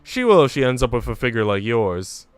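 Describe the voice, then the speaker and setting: A man voicing a wry, gently teasing, sympathetic woman companion in a visual novel. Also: medium pitch, big pitch swings, a little fast, smooth, dry recording, natural voice. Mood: teasing, reassuring. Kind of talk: visual novel read aloud.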